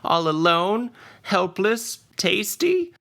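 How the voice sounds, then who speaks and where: A tiny gnome sorceress from a fantasy audio story , acted out by a male narrator, playful and mock-helpless. The voice leaps very high, with slow, stretched-out words and exaggerated delivery. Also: very animated, fairly bright tone, heavily acted. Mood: teasing bait.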